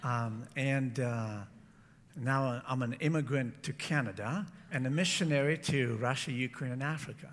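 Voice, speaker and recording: A middle-aged man from San Francisco preaching as a guest in an informal, halting, conversational way, often correcting himself. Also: medium pitch. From San Francisco, United States. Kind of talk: informal church talk with personal testimony. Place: large room, church service. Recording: echoey hall with long reverb.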